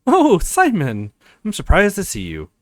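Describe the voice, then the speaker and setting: A man voicing a skeptical, formal female professor in a visual novel, measured and coolly authoritative. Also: high voice, huge pitch swings, no echo, studio quality, pitched above the performer's own voice, exaggerated voice. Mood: cool, weighing.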